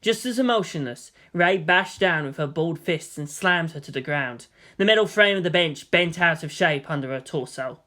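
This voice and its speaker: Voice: medium pitch, animated, normal speed, pushed and bright. A narrator reading an action story aloud in a dramatic, scripted storytelling style.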